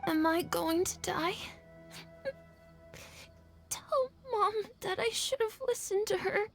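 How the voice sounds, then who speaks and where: A woman's voice: extremely high pitch, takes it slowly, vocal fry, a bit bright, faint music behind, full character voice. A feverish scared little boy. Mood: frightened. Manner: weak, frightened and tearful. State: feverish and dizzy. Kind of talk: video game voice acting.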